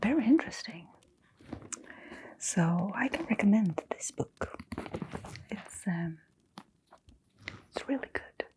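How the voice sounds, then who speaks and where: A woman playing a welcoming, gentle old-bookshop keeper in an ASMR roleplay, speaking in a soft, unhurried whisper with little hums and pauses. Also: medium pitch, lively intonation, rough recording. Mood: musing. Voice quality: soft breathy whisper with creak.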